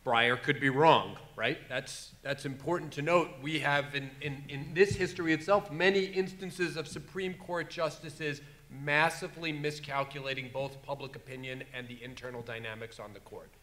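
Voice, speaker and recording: Guy on a law panel, bright sharp voice, dry jokes, keeps it measured. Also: medium pitch, steady tone. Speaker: conference panelist. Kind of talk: panel discussion.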